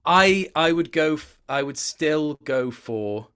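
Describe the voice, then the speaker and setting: A man's voice: fairly high pitch, lively intonation, takes it slowly, fairly bright tone, smooth. A man chatting casually as an interview guest, rambling and hesitant, often restarting and repeating his words. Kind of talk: casual chat.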